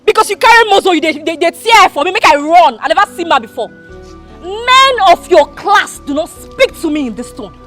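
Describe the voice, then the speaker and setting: Actress as a bullying Nigerian madam, very high voice, lively, music under. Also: female voice, normal speed, heavily acted. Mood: scornful. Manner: scornful, shouting, mixes Pidgin. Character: arrogant, bullying rich woman of a compound. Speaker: wealthy madam of the compound. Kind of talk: Nollywood drama acting.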